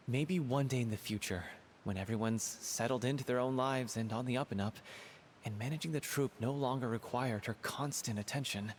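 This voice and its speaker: An earnest young actor voiced by a man speaking at an ordinary pitch. His sincere, confessional voice trails off into a long hall reverb, with a faint hiss underneath. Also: close to an everyday voice. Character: earnest young male troupe actor, lovesick.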